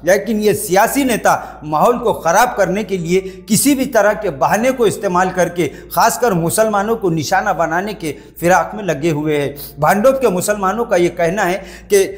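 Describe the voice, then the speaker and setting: A man's voice: high voice, moderate pace, hardly any pauses. A man anchoring a news report, reading formally and addressing the viewers directly.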